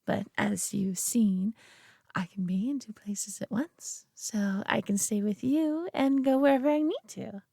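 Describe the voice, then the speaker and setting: A woman voicing a possessive, doting alien who coos over her pet human in an intimate roleplay audio drama, coddling and softly condescending. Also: medium pitch, measured pace, gravelly, no echo, clean studio sound. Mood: soothing, possessive.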